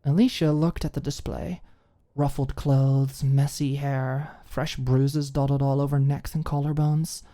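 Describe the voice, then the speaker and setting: A woman reads a story as an ASMR audiobook narrator. Her voice sits low and has a soft, mellow tone, with the measured delivery of scripted fiction. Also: little pitch movement.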